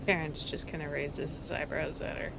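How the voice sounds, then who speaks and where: A woman's voice: low voice, flat delivery, normal speed, gravelly. A woman casually narrating her character's actions as a player in a tabletop role-playing game. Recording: noisy track with cricket ambience.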